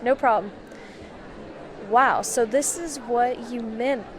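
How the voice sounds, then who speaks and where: A woman playing a businesslike, curious intelligence handler in an amateur audio drama, measured and conversational. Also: on the high side. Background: faint crowd chatter.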